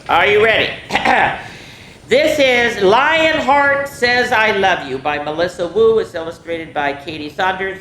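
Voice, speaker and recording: A woman hosting a story time for young children, reading in an animated, chatty way and putting questions to her young listeners. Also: sits low. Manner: chatty, asks listeners questions and answers them herself. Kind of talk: animated picture-book read-aloud for young children. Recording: echoey room with faint background noise.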